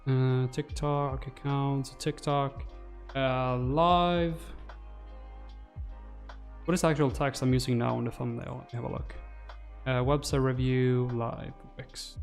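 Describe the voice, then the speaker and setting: A man's voice: mid-range voice, level tone, slow pace, pauses often, soft background music. A man in his twenties muttering his thoughts aloud as he casually hosts a livestream while working, close on a desk microphone. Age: 20s. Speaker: livestream host. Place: small room indoors. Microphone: desk microphone close to the mouth.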